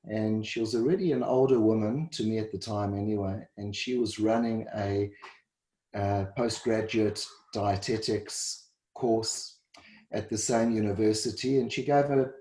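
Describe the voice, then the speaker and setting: A middle-aged South African man, a dietitian and first responder, answers interview questions in a halting way and often repeats words. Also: fairly low pitch, darkish tone. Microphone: video call. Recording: roomy echo.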